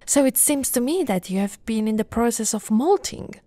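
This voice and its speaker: A young woman playing an affectionate, teasing lamia girlfriend in an ASMR roleplay, soft-spoken and often whispering, with a breathy, coaxing delivery close to the mic. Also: a little high, animated, no echo, natural voice. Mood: curious, concerned. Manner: slow, breathy, coaxing delivery with pet names. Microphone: close, dry microphone.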